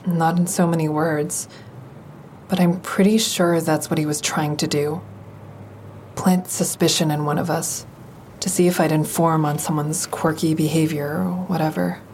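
A woman's voice: sits low, flat delivery, moderate pace, a little mellow, a little hiss or hum. An actress playing a guarded, wry woman who narrates her troubled romance in an intimate, confiding audio drama style. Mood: measured, guarded. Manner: confiding, dry, introspective.

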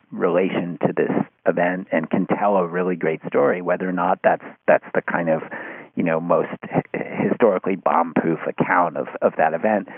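A man talking through ideas in conversation, halting and searching, often repeating words as he goes. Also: low-pitched, creaky, husky, no echo. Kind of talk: conversational discussion. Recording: tinny narrow phone-like line.

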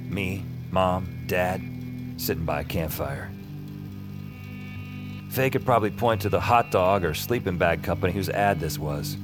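A young, self-deprecating narrator in an audio fiction drama. His voice sits low, and he tells his story drily and conversationally, with music clearly underneath. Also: animated, a little slow, pauses often. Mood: wry, dry. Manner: wry, dry, conversational. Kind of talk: first-person scripted audio drama narration.

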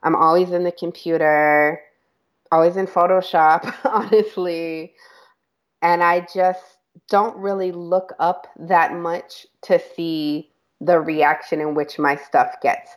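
Woman guest on a podcast, pretty low voice, chatty and open, kinda laughs and restarts a lot. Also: steady tone, takes it slowly. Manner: candid and chatty, with laughs and self-corrections. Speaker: podcast guest, creative director. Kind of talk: casual interview talk.

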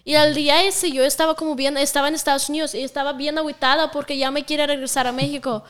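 A woman's voice: sits high, flat delivery, quick pace, a bit bright. A young woman from Russia, a native Russian speaker, chatting frankly as an interview guest with lots of fillers. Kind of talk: casual interview chat.

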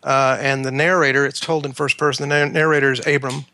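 Author guy on a podcast explaining his writing, bright voice, kinda halting, dry close sound. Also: medium pitch, little pitch movement, no echo. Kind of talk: explaining his work in an interview.

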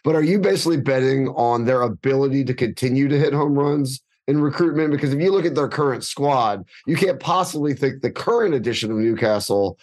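A middle-aged man co-hosting a sports podcast, his voice raspy from a hangover, eager and quick to push back with questions. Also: mid-range voice, a little mellow. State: raspy, hungover. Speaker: podcast co-host. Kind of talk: casual sports chat.

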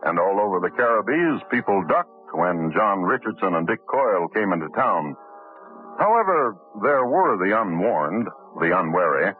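A man's voice: lowish voice, sing-song, normal speed. A man narrating an old radio crime drama in a dry, sardonic way. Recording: tinny old radio broadcast with music.

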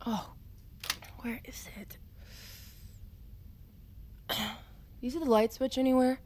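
An actress playing a defiant, suspicious young ex-soldier daughter, sarcastic and blunt, in a naturalistic audio drama. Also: female voice, sits high, steady tone, takes it slowly, noisy background. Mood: hushed, insistent. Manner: sarcastic, foul-mouthed, blunt.

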